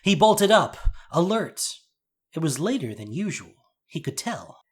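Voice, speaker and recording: A man's voice: a little high, sing-song, measured pace, husky. A man narrating a fantasy audio drama as a scripted, dramatic storyteller.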